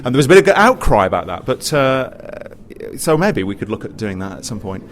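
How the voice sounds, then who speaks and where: A man's voice: medium pitch, sing-song, brisk. A British man speaking informally on a panel, in a conversational, stop-start way with repeated words. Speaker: panelist, pantomime writer and director. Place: live panel in a theatre. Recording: faint background noise, live event.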